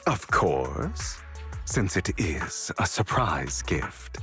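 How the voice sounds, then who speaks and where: An actor voicing a suave, sly gentleman rogue in a video game, speaking with polished, teasing courtesy and coy pauses. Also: sits low, big pitch swings, exaggerated voice. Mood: smooth, courteous. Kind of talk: video game character dialogue. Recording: music under the voice.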